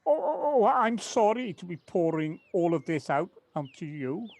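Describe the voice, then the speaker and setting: A woman acting a remorseful older partner of forty years in an amateur audio drama, halting and confessional. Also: on the low side, big pitch swings, a little mellow. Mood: apologetic. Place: at home, recorded remotely. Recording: faint noise, home personal-device recording.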